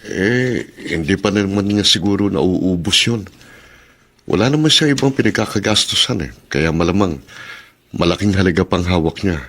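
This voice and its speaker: A man's voice: deep voice, expressive, moderate pace, a bit bright. An actor playing a concerned, encouraging male confidant in a Filipino radio drama, speaking earnestly. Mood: reasoning. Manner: earnest, urging.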